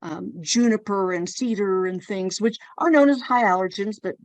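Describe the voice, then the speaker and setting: A woman's voice: medium pitch, normal speed, darkish tone, dry recording. Chatty woman host telling personal stories. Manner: chatty storyteller, personal anecdotes. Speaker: podcast host. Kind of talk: casual conversation.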